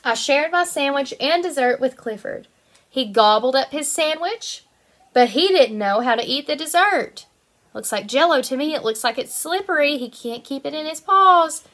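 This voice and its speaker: High-voiced young woman reading to children. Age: 20s. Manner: animated, sing-song, addressing small children. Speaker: kindergarten teacher reading aloud. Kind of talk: picture-book read-aloud for young children.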